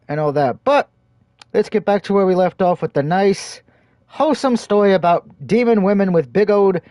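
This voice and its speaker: Lively, joking male streamer. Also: a little high, dry recording, studio quality. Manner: crude, joking banter. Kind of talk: casual let's-play commentary and reading aloud.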